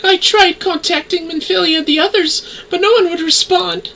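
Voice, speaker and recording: A woman's voice: very high voice, fairly even intonation, normal speed, vocal fry, bright, forceful tone, recorded from a distance, a little hiss or hum, heavily acted, poor audio. A woman playing a tiny, sweet, emotional young woman in a fantasy game, girlish and earnest. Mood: distressed. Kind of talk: scripted fantasy game dialogue.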